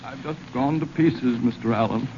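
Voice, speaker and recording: A man's voice: mid-range voice, normal speed, darkish tone, natural voice. Man playing a despairing, muffled old-radio Santa Claus. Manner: melodramatic wailing lament. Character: despairing, nerve-shattered veteran department store Santa Claus, male. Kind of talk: 1930s radio comedy acting. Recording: muffled, hissy 1930s radio recording.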